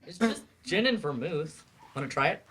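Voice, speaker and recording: A woman acting a studious, mild-mannered student in a staged play reading. Her voice is low and husky. She comes across as earnest. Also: lively intonation, moderate pace, a bit bright, exaggerated voice.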